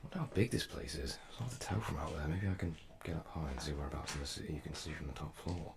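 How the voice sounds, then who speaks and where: A man's voice: a bass voice, quick pace, vocal fry, a crowd in the background. A man playing a curious, self-questioning time traveller in an audio drama, musing in a wry, hesitant way. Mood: curious, wary. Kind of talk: audio drama monologue and dialogue. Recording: music under the voice.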